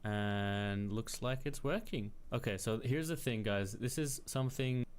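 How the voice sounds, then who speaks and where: A man narrating a recorded step-by-step software tutorial in a plain, instructional way. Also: medium pitch, unhurried, a little hiss or hum. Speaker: tech tutor.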